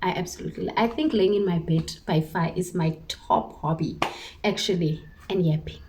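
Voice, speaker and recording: A woman's voice: fairly low pitch, normal speed, noisy background. A talkative young woman in her twenties from Cape Town, with a Cape Town South African accent and Afrikaans as her first language. She chats casually to the camera at home, in a self-mocking way, laughing often and sometimes slipping into a whisper. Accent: Cape Town South African English. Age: 20s. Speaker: vlogger, primary school teacher.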